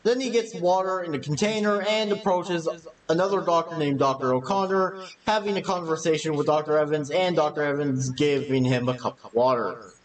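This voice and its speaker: A man's voice: a little high, fairly quick, distant mic, low-quality recording. A man reading scripted narration for a video essay.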